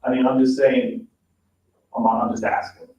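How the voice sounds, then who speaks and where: A mature man thinking aloud in an informal meeting, tentative and halting as he puts questions. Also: mid-range voice, level tone, a little mellow. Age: middle-aged or older, a grandfather. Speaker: redevelopment commission meeting participant. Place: meeting room, mic at a distance. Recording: roomy, distant, dull, faint noise.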